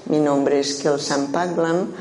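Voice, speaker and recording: A woman's voice: sits low, steady tone, slow pace, a little mellow. A woman in her sixties from Menorca, with a Menorcan Spanish accent, gives an informal talk as a Buddhist teacher. She speaks in a thoughtful way with frequent hesitations. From Menorca, Spain. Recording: roomy hall with faint background noise.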